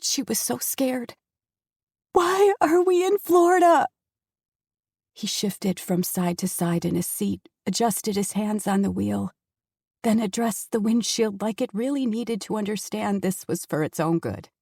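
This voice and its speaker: Female audiobook narrator, mid-pitched, husky tone, dry studio booth. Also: a little slow, mellow, no room sound. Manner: intense, dramatic storytelling. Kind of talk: scripted narration. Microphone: close studio mic.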